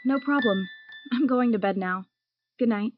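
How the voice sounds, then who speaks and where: A young woman playing a patient girl who gently gives life advice in an audio drama. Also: a little high, normal speed, soft background music, natural voice. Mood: encouraging. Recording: dull lo-fi recording.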